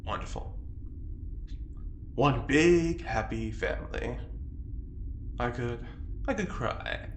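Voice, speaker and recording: A man's voice: mid-range voice, animated, slow, pauses often. An actor playing a prim, earnest, easily affronted man with a formal, theatrically wounded manner in an audio drama. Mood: wistful. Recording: roomy, faint noise.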